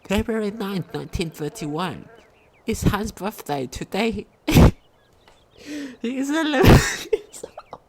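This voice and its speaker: A woman's voice: medium pitch, very animated, takes it slowly, darkish tone. A woman reading a game diary aloud as a video commentator, sometimes stumbling over her words.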